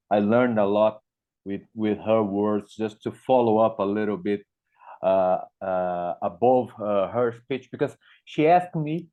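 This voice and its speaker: A middle-aged Brazilian man with a Portuguese-speaker's accent, giving an informal conference talk and hesitantly repeating words as he thinks. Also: fairly low pitch. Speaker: guest speaker, media educator. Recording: thin, phone-like online call audio.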